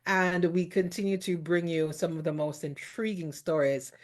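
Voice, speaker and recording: A woman's voice: fairly low pitch, fairly even intonation, moderate pace. A middle-aged woman from Jamaica, hosting a talk show in an effusive, exclamatory way. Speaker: host.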